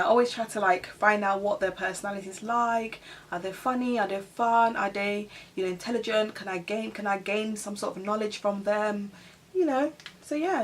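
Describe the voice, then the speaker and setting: A woman's voice: mid-range voice, a bit bright, distant mic. Chatty woman vlogger, a bit off-mic. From Ghana. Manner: chatty, rambling, many "like" and "you know". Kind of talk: casual get-to-know-me chat.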